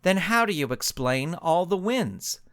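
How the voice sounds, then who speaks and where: A man's voice: sits high, big pitch swings, unhurried, fairly bright tone, smooth, no echo, studio quality, heavily acted. A man voicing a fierce, hot-tempered female superhero who snaps severely. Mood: scornful. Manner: snapping, severe.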